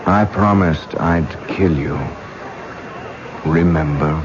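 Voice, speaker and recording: An actor playing a calm, quietly polite male serial killer in a 1970s radio drama, speaking slowly and hesitantly. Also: low voice. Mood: quietly menacing. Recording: dull old radio broadcast with hiss.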